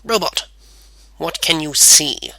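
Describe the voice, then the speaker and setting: Eager male inventor, acted by a storyteller, proud, lively intonation, forceful, dry sound, storybook character. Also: fairly high pitch, takes it slowly, no echo, full character voice. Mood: proud, commanding.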